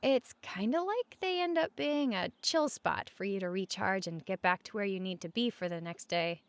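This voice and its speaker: A woman playing a friendly, reassuring roommate in a conversational comfort roleplay, speaking gently and casually at regular volume. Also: medium pitch, expressive, normal speed, dry recording, close to an everyday voice. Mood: relaxed, chatty. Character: friendly, reassuring young female roommate offering company.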